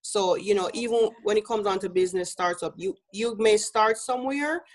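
A woman's voice: medium pitch, normal speed. A woman from Jamaica with a Jamaican accent, a business coach speaking as an interview guest in an earnest way, with easy laughs and many fillers.

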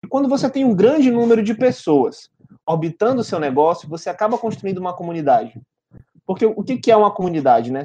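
Male podcast host, Brazilian Portuguese accent, high voice, informal and chatty. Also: mellow. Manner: informal, chatty, frequent tag questions. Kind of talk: casual conversational podcast hosting.

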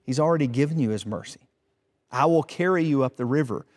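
A man voicing a patient, caring eldest brother in a parable, gentle and firm. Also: medium pitch, natural voice. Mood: reassuring. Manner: gentle, measured, soft-spoken. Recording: long hall reverb.

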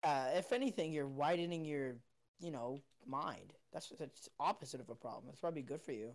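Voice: mid-range voice, fairly quick. A young podcast co-host chatting casually, teasing and interrupting the others. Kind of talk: casual chat.

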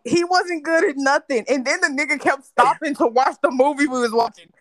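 A woman's voice: high-pitched, brisk, fairly bright tone, no room sound. A woman from North Carolina telling a candid story in a casual chat with friends, laughing often. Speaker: podcast host.

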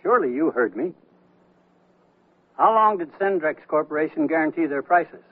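A man's voice: a little high, lively intonation, moderate pace, dry recording, narrow, tinny audio. An actor playing a wry, self-assured company troubleshooter in a radio comedy, telling his story with dry, pointed delivery. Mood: dry, firm. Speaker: narrator and lead character. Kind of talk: radio comedy acting with first-person narration. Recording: band-limited radio broadcast with music.

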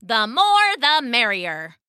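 Give this storybook duck , played by a woman, a high, bright voice that swings eagerly. He is a small, polite, cheerful fellow with a quacking, chanting way of talking and real determination. The sound is close and dry. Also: very animated, takes it slowly, smooth, no echo, exaggerated voice. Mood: eager, agreeable. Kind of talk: children's storybook character voice.

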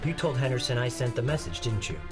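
A man's voice: medium pitch, animated, fast, over music, natural voice. A man playing a plain-spoken office worker and family man in an audio drama. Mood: angry. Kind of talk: scripted audio drama acting, naturalistic.

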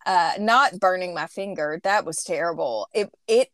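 A woman's voice: lowish voice, normal speed, fairly bright tone, dry recording. A woman in her thirties hosting a podcast, telling a rambling, chatty story. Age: 30s. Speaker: podcast host. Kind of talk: casual chat.

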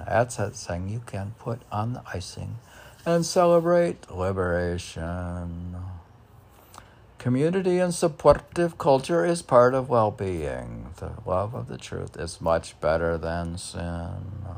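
Low, creaky-voiced man, musing slowly. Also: darkish tone, a little hiss or hum. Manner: musing, quizzical, talking to himself. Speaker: poet reading own work. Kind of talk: informal poetry reading with self-questioning asides.

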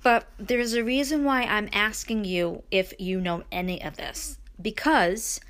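A woman's voice: mid-range voice, a bit bright. Chatty woman hosting her own podcast. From Brooklyn, New York. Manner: chatty, rambling, with frequent ums and restarts. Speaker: podcast host and author. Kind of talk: solo podcast monologue.

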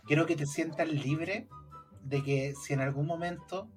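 A man's voice: fairly high pitch, measured pace, soft background music. A Chilean man co-hosting a podcast, teasing the guest in playful banter and laughing often. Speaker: podcast co-host.

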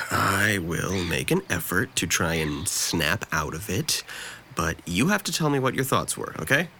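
Guy doing a sardonic moody young man in an audio drama, bright edgy voice, pitch jumps around, some noise. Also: expressive, measured pace, full character voice. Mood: sullen. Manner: sardonic, bitter, profane. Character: depressed, sarcastic, volatile young man lashing out at his family.

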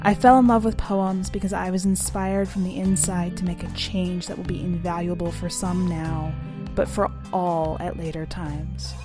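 A woman's voice: on the low side, level tone, moderate pace. A woman reading scripted introductions and short biographies as a podcast presenter. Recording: music playing behind the voice.

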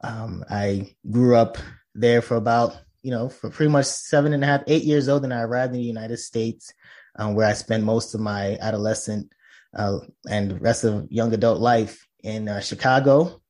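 A man's voice: on the low side, normal speed, darkish tone. Man, thirties, from Nigeria, interview guest, earnest, explanatory, restarts. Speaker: guest, assistant professor. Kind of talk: conversational interview.

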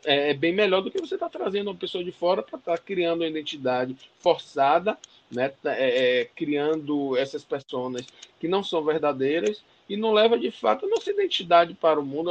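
A man's voice: a little high, sing-song, normal speed, few pauses. A man from Bahia with a Bahian accent, speaking passionately as an interview guest, often tagging sentences with questions and restarting his phrases. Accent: Bahian Brazilian Portuguese. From Bahia, Brazil. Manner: passionate, emphatic, frequent "né" tags and restarts. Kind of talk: conversational interview.

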